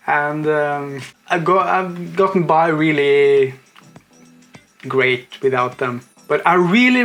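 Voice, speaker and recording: A man's voice: fairly high pitch, a bit bright. A man, talking eagerly in a rambling casual vlog, often correcting himself. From Norway. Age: 40s. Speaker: car vlogger.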